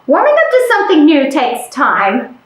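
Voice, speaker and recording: Female children's storyteller, high-pitched and highly animated, playful questioning delivery, roomy sound. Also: normal speed. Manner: exaggerated, playful, addresses young children with questions. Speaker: library storytime presenter. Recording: roomy, echoing room sound.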